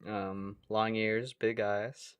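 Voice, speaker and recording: A man's voice: fairly low pitch, level tone, extremely slow, a bit bright, smooth, dry recording, highs cut off. Man chatting casually, dry close sound. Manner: informal, playful, prompting with questions. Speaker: podcast co-host. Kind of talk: casual podcast chat.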